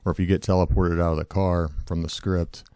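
A hoarse man with a cold, casually walking through a software tutorial with lots of fillers and self-corrections. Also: low voice, level tone, brisk, dry recording. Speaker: tutorial host. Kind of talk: screen-recorded software tutorial.

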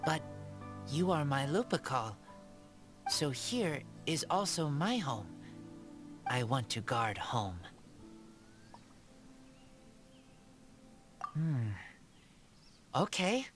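A woman playing a shy, gentle, loyal feral boy raised by wolves in a video game, speaking in halting broken sentences. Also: deep voice, sing-song, exaggerated voice. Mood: protective, earnest. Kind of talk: video game character dialogue. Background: game background music.

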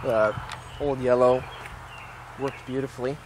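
A man's voice: medium pitch, fairly even intonation, unhurried. A young American man chatting casually to the camera as a vlogger, recorded on a handheld camera. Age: young adult. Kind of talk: casual vlog chat. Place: old pickup truck cab and roadside outdoors. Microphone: handheld camera at arm's length. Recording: loud road, engine and outdoor noise.

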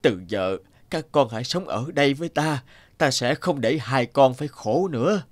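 A man voicing a stern but kindly old father in an animated folk tale, speaking slowly and deliberately. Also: mid-range voice, no echo, close to an everyday voice. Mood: earnest, warm. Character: stern old father, testing and finally kind.

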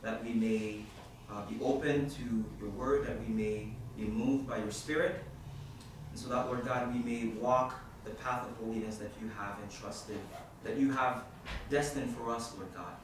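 A man from Haiti, a youth minister, praying and then telling a story to a group in a halting, informal way with many fillers. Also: mid-range voice, fairly even intonation. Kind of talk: opening prayer and talk to a group. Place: hall at a university centre. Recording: echoey room from far off, background noise.

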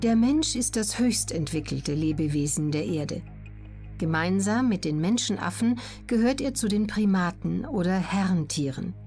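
A woman reading scripted educational narration as an audiobook narrator, in a measured, clearly articulated way. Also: on the low side, lively intonation, a little mellow, soft background music.

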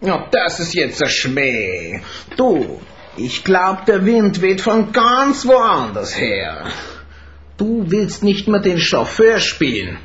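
An actor playing a smug, menacing crime boss with false friendliness in a radio play. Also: male voice, high voice, sing-song, takes it slowly, fairly bright tone, lo-fi sound, heavily acted. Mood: oily, dismissive. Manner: oily, mock-friendly. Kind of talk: German radio play acting.

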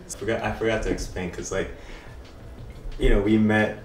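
A man's voice: on the low side, little pitch movement, normal speed, recorded from a distance. A man in his twenties hosting a casual podcast, jumping informally between questions. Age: 20s. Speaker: host. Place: indoor bar with brick walls. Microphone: condenser mic on table, a little distant. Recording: slightly noisy, echoey room, off mic.

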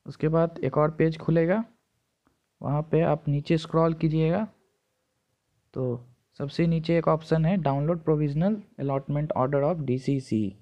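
A man with a slightly high voice gives informal tutorial instructions, repeating steps as he goes. The sound is dull and lo-fi, as from a phone microphone. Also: steady tone, slow. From India. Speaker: tutorial presenter. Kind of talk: informal screen-recording tutorial narration.

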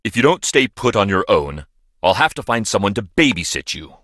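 A man's voice: lowish voice, very animated, a little fast, fairly bright tone, no room sound, clean studio sound. A man playing a stern, impatient lab director in a video game, bossy and brusque. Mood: irritated.

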